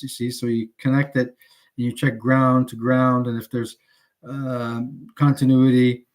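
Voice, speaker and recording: A man rambling casually on a livestream, halting and digressive as he thinks aloud while showing his purchases. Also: mid-range voice. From Canada.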